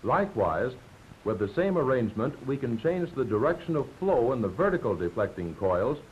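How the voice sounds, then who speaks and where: An American man delivers scripted 1940s training film narration with lively, varied intonation. He explains things in a measured, didactic manner. The old film soundtrack sounds dull and lo-fi, with a faint layer of noise. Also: medium pitch.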